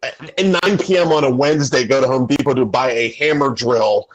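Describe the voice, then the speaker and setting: A man's voice: medium pitch, a little fast, poor audio. A middle-aged man chatting casually on a podcast. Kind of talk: casual chat.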